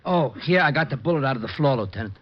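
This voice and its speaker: An actor playing a touchy, pedantic police underling in an old-time radio comedy-drama. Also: male voice, mid-range voice, animated, quick pace, close to an everyday voice. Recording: muffled, noisy old radio recording.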